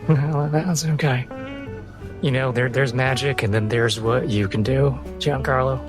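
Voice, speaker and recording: Male tabletop player, mid-pitched, very slow roleplay delivery, music bed. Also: steady tone. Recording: music playing under the voice.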